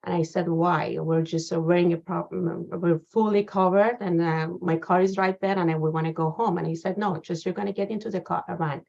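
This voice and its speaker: A woman guest in an interview, telling a personal account slowly with many pauses and fillers. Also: on the low side, steady tone, gravelly, a little mellow. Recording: dull lo-fi sound.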